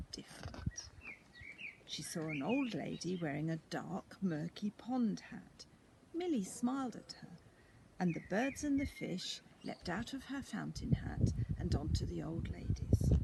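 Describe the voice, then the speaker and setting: Expressive woman reading a children's story. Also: mid-range voice, noisy background. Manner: animated, clearly paced narration.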